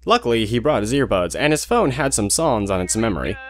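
A man's voice: mid-range voice, big pitch swings, fairly quick. A man reading scripted narration as the narrator of an audio drama, with a faint hum.

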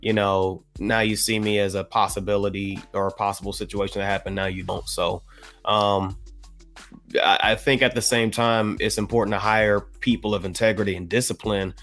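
An American man giving his opinion on a podcast panel in a measured, halting way with formal wording. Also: lowish voice, steady tone, fairly bright tone, soft background music. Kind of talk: panel discussion, offering opinion. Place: indoor room on a video call.

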